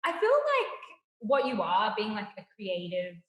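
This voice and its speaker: A woman's voice: medium pitch, huge pitch swings, unhurried, fairly bright tone. A young woman with an Australian accent, co-hosting a casual chat and game, speaking easygoing and thoughtful with frequent laughter. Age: young adult. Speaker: co-host, PhD student. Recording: echoey room with long reverb.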